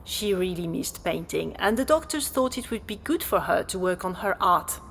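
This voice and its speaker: An actress playing a helpful, slightly flustered exhibition guide, speaking politely and hesitantly in naturalistic audio drama dialogue. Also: female voice, on the low side, lively intonation, moderate pace, gravelly, fairly bright tone, slight background noise. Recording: long hall-like reverb.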